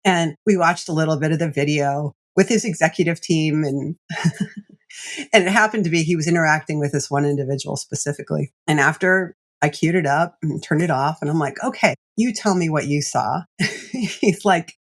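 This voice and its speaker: A woman executive coach telling stories as a podcast guest, animated and laughing often. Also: sits low, normal speed.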